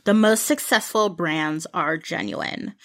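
A woman's voice: lowish voice, slow pace, a bit bright. A woman co-hosting a book podcast, giving enthusiastic, conversational book recommendations. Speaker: podcast co-host.

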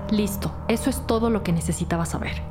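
A woman's voice: mid-range voice, fast, lightly acted. Woman acting a stern, no-nonsense detective. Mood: firm, determined. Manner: curt, commanding, sarcastic. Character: stern, no-nonsense, short-tempered female police detective. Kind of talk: audio drama acting. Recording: music bed under the voice.

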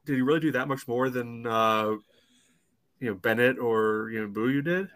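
A man's voice: medium pitch, normal speed, a bit bright. A man co-hosting a sports podcast, chatting casually about soccer with a sarcastic streak, repeating things for effect.

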